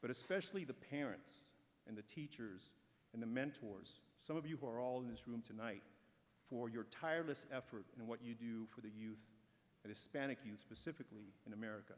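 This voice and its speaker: A man giving a prepared, encouraging speech as a corporate speaker at an awards ceremony. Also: mid-range voice. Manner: measured, encouraging delivery. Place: large event hall. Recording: long hall reverb.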